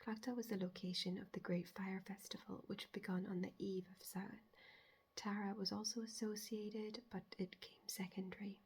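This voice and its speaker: Female ASMR host, hushed and measured, extremely breathy whisper with little pitch movement, explaining folklore. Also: level tone.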